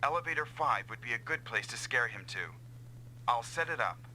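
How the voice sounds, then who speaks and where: An actor playing an earnest, self-doubting comrade pilot who is eager to help, in a dubbed anime-style video game. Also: male voice, medium pitch, animated, fairly quick, heavy background noise, close to an everyday voice. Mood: purposeful.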